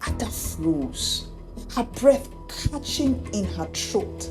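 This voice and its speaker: A woman narrating a scripted folktale as a storyteller, in an unhurried, measured delivery. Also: mid-range voice, big pitch swings. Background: background music.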